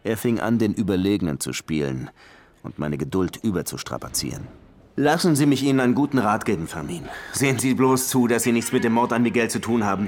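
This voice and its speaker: An actor playing a hard-boiled, short-tempered Cuban police detective who also narrates a German radio crime drama in a dry, sardonic way. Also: male voice, medium pitch, slight background noise, lightly acted. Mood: angry, irritated. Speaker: detective and first-person narrator.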